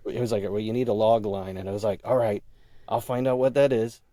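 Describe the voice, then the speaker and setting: A man being interviewed as a podcast guest, telling his own story casually and haltingly, thinking aloud and quoting his own thoughts. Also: lowish voice, steady tone, dark tone, no room sound. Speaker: audio drama creator and writer.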